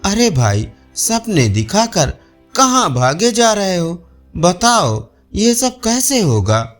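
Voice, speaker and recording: A man's voice: sits high, sing-song, takes it slowly, soft background music, exaggerated voice. A man voicing a greedy, gullible male snake in a storybook tale, with an eager, questioning manner.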